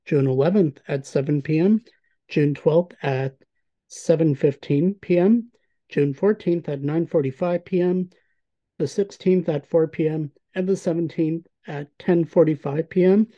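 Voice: medium pitch, a little slow, muffled recording. A host asking a guest long, friendly questions and reading out event dates, with the odd filler. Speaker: interviewer, host. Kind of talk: interview questions and event announcement.

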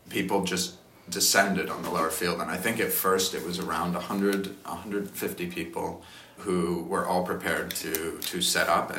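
Deep, flat-voiced young man. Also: reverberant, a little hiss or hum. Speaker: student interviewee. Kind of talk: recounting in an interview.

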